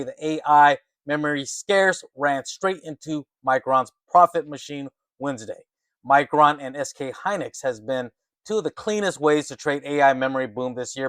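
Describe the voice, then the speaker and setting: Higher-pitched man giving emphatic market commentary. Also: fairly bright tone, dry recording, clean studio sound. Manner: emphatic, conversational, often adds tag questions like "right" and "okay". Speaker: host of a stock market commentary channel. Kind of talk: excited informal market commentary, partly reading articles aloud.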